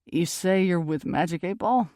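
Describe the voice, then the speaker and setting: A woman's voice: lowish voice, expressive, normal speed, dark tone, no room sound, clean studio sound. A woman voicing an impatient, stern male bouncer in an audiobook, curt and final. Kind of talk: audiobook character dialogue.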